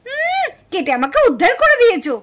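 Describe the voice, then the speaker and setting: Shrill, scolding village daughter-in-law. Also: female voice, huge pitch swings, fairly quick, fairly bright tone, full character voice. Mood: angry, defiant. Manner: scolding, indignant, exclamatory. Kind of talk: puppet cartoon family drama acting.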